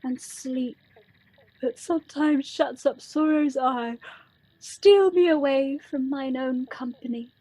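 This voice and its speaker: Woman playing a high-voiced, sorrowful young maiden. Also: slow pace, mellow, no room sound, low-quality recording. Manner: plaintive, lamenting verse. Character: sorrowful, exhausted young woman lost at night. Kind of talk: Shakespearean radio play acting.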